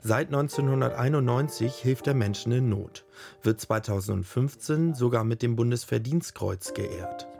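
A man reading a scripted documentary voice-over in an even, measured way. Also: medium pitch, gravelly. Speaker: documentary narrator. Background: faint music.

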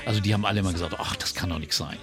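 A man's voice: low voice, fairly even intonation, rapid-fire, fairly bright tone. A man in a casual, anecdotal talk about music, conversational and slipping into short acted-out quotes.

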